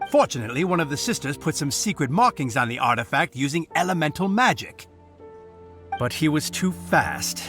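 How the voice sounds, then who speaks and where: A man's voice: mid-range voice, pushed and bright. A man voicing an officious, formal knight who enforces the law in a video game, with a stiff, declamatory delivery. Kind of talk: animated video game voice acting.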